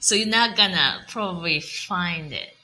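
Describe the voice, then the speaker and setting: A woman's voice: fairly low pitch, expressive, unhurried, sharp tone. A woman co-hosting a language lesson, patiently explaining words and repeating them to teach.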